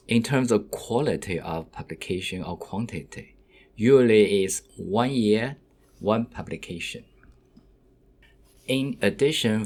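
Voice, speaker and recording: A middle-aged man who is not a native English speaker, lecturing to students in halting, simple phrases and often checking with an okay. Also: deep voice. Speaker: university lecturer.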